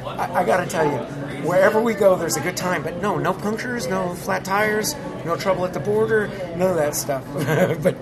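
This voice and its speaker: A man's voice: high voice, moderate pace, a crowd in the background. A man with an American accent, a touring musician chatting candidly in an interview and laughing often. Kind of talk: casual interview chat.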